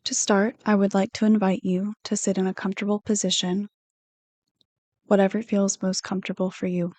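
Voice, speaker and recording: A woman reading a guided meditation script in a soothing, unhurried, gently instructive manner. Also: medium pitch, flat delivery, no echo. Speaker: counselor hosting guided meditations.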